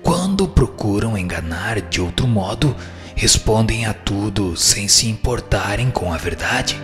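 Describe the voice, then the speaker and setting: A man's voice: lowish voice, lively intonation, measured pace. A man with a Brazilian accent playing a wise, firm spirit who gives formal, didactic answers in a scripted radio drama. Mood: solemn, instructive. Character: instructive male spirit, wise, firm and solemn. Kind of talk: scripted dialogue, formal reading. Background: music.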